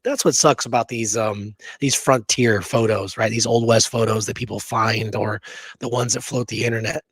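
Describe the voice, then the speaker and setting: A man's voice: on the low side, steady tone, normal speed, husky, no room sound. Emphatic man talking history, close dry sound. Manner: emphatic, often tagging statements with "right?". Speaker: podcast co-host. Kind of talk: casual opinionated history discussion.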